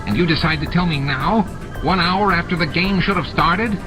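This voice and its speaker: A man's voice: on the high side, moderate pace, voice pushed up, close to an everyday voice, low-quality recording. A man playing a scheming, bullying alien bar owner who is snappish and indignant, in an audiobook drama. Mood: alarmed anger. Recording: music behind.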